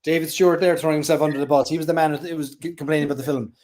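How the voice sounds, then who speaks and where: A man's voice: a little high, steady tone, very fast, a little mellow. A man co-hosting a casual whiskey talk show, chatty and teasing. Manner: chatty and jokey, teasing guests. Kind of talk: casual chat.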